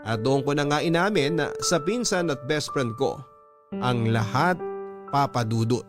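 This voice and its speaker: A man's voice: mid-range voice, measured pace. A man with a Filipino accent reading a first-person story as a radio host, in a dramatic narration style. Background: background music.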